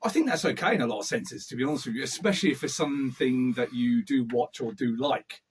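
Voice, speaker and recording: A man's voice: animated, fast talker, husky. A man interviewing an author in a playful, joking way. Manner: playful, jokey, swings up and down in pitch. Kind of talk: casual, joking interview chat.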